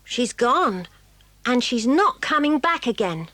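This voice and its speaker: High, plucky English schoolgirl. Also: very animated, slow pace, fairly bright tone, dry recording, full character voice. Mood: earnest, apologetic. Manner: earnest, plucky, jolly schoolgirl talk. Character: warm-hearted, bossy, breezy boarding-school girl, a twin. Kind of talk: 1980s children's audio dramatisation acting.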